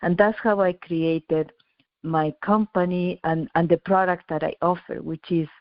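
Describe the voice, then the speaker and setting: Woman from Chile, middle-aged, lowish voice, earnest, stumbling restarts, dry dull audio. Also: fairly even intonation, a little slow, dry recording. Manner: earnest, frequent restarts and repeated words. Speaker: engineer and company founder. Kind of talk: radio interview guest.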